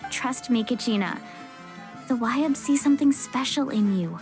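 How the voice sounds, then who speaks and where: Young warrior woman for a game: casual, supportive, high-pitched, music underneath. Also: animated, measured pace, darkish tone. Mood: reassuring. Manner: casual, encouraging, plain-spoken. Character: upbeat, confident, supportive young female warrior. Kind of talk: video game voice acting. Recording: music behind the voice.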